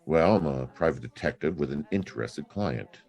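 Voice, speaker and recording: An actor playing a wisecracking, flirtatious private detective in a hard-boiled audio drama, wry in both narration and dialogue. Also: male voice, rumbling low, animated, normal speed, gravelly, darkish tone, full character voice. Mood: casual, probing. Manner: wry, drawling asides with flirtatious endearments.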